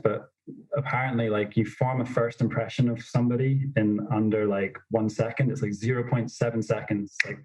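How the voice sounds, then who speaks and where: A young man telling stories as a podcast guest, in a self-described monotonous voice with lots of fillers. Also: medium pitch, rough recording. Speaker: snowboard instructor trainer, podcast guest. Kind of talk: casual interview storytelling.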